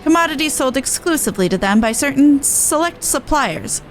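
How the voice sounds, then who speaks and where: A woman's voice: high voice, lively intonation, moderate pace. A woman voicing a young cat-folk resistance soldier in a game, earnest, battle-worn and blunt. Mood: playful, businesslike. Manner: earnest, blunt, rough-and-ready phrasing. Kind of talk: game dialogue voice-over.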